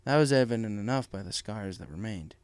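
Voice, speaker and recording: A man's voice: fairly low pitch, expressive, fairly quick. A man reading scripted story narration as the narrator of a fan fiction audiobook. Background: music.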